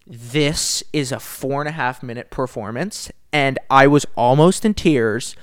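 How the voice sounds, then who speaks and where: A man co-hosting a podcast, telling stories in casual, enthusiastic chat and repeating words when excited. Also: medium pitch, measured pace, clear, resonant tone. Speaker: podcast co-host.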